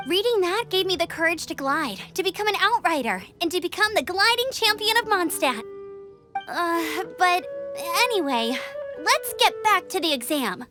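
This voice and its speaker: A peppy young gliding instructor. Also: female voice, very high voice, very animated, moderate pace, over music. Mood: cheerful. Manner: upbeat, encouraging, bubbly.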